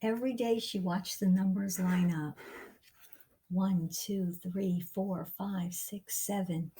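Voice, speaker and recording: A woman reading a picture book aloud as a storyteller, with expressive, deliberate and dramatic phrasing for young listeners. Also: lowish voice, breathy edge. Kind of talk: expressive children's read-aloud narration.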